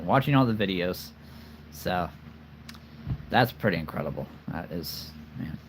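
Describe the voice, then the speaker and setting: A man's voice: on the low side, slight background noise. A man hosting a casual livestream, talking earnestly and rambling with many fillers and restarts. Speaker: collectible figure channel host.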